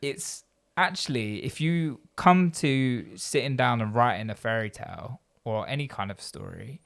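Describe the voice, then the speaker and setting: A man's voice: medium pitch, expressive, measured pace, creaky, fairly bright tone. A man hosting a podcast interview who asks thoughtful, rambling questions and often restarts his sentences. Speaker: podcast host. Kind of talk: conversational interview.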